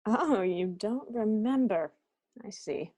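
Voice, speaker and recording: A woman's voice: mid-range voice, lively intonation, slow, warm tone, no echo. A woman playing a probing, sardonic police captain in an amateur radio-style mystery. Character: brisk, wry police captain questioning suspects.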